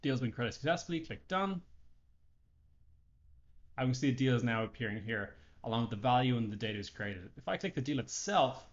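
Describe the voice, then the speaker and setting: Lo-fi male software tutorial narrator. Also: medium pitch, animated, fairly quick. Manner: explanatory, step-by-step, talking through each action. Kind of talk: unscripted screen-share walkthrough narration.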